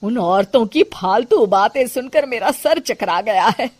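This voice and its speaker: A woman's voice: a little high, lively intonation, measured pace, darkish tone, dry recording. A woman voicing a middle-aged city mother-in-law in a cartoon story, fond but complaining, with melodramatic exclamations. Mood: irritated. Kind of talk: Hindi cartoon moral-story acting.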